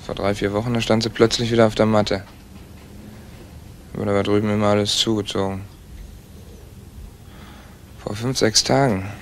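A man's voice: fairly low pitch, level tone, moderate pace, pauses often, lightly acted. An actor playing a streetwise young man in a German crime radio play, blunt, gossipy and out for money, in clipped colloquial phrases. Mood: gossipy, conspiratorial. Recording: noisy old recording with clicks and clatter.

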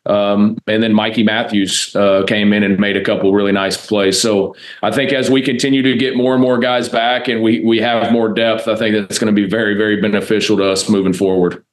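American male coach, 30s, low register, very flat delivery, press-conference answers, measured and matter-of-fact. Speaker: college football offensive coordinator. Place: indoor press room, seated at a table before a backdrop.

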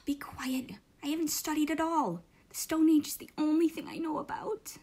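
A woman's voice: high voice, fairly even intonation, moderate pace, a little mellow, close to an everyday voice. A woman voicing a brash, dramatic, bickering schoolgirl in an amateur comic fandub. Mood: panicked.

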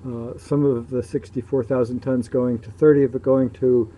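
Dark-voiced man in casual, halting commentary. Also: mid-range voice, fairly even intonation. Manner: matter-of-fact, halting, pausing between phrases. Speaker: local shipping news publisher filming ships. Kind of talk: casual off-the-cuff commentary on video. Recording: muffled dark sound, clear background noise.

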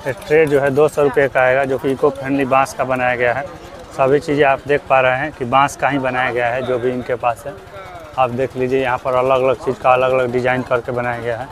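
Young man, from Bihar, middle pitch, lapel mic, outdoor fair, walking vlog narration, repetitive. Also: little pitch movement, fairly quick, crowd noise. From Bihar, India. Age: young adult. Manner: repetitive, asks vendors prices loudly. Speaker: vlogger. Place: outdoor crafts fair among stalls. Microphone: clip-on lapel mic.

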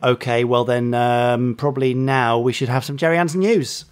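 Male podcast host, casual banter, medium pitch, faint music bed. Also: steady tone, normal speed. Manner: bantering, informal.

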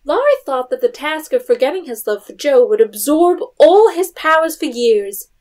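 A woman's voice: high voice, animated, moderate pace, darkish tone, soft background music. A woman narrating a literary audio drama in a scripted storytelling style.

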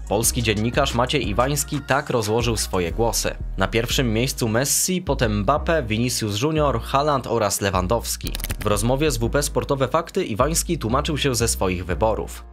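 A young man hosting a football news show, reading scripted reports in a brisk, even newsreader manner from a small room. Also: medium pitch. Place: small room with brick wall and shelves.

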